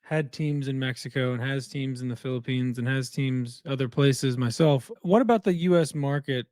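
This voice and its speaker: A man's voice: mid-range voice, normal speed, darkish tone, no echo, clean studio sound. A male podcast host asking long, rambling questions full of fillers in a casual chat.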